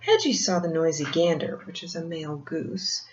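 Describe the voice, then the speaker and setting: An older woman reads a picture book aloud like a grandmother, pausing for gentle explanations and questions to the child. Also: sits low, animated. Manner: gentle teacherly asides, asking the child questions. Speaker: grandmother storyteller reading to children. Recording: muffled, roomy home recording with faint noise.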